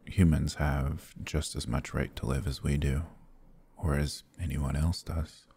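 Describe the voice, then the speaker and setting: A man voicing a courtly, gentle vampire lord in a fantasy ASMR roleplay, speaking in a formal, measured, soft-spoken way. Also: full character voice. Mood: grave. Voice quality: husky, low.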